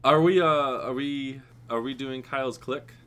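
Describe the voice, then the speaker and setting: A man co-hosting a podcast in casual chat, sounding nasal as he gets over a cold, telling dry deadpan jokes and laughing often. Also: medium pitch, a little slow, clear, resonant tone. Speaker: podcast co-host.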